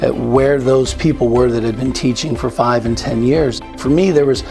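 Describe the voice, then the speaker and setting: A man, an insurance agent and former teacher, telling his story in a relaxed, conversational way. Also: mid-range voice, moderate pace, gravelly. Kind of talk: testimonial. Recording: background music.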